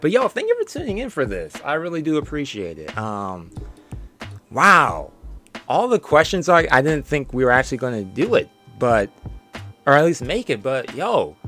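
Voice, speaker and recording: A man's voice: a little high, fairly bright tone. A tired young man streaming from his bedroom, losing his voice and rambling casually with small laughs. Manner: tired, rambling, chuckling often. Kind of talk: casual stream sign-off chat.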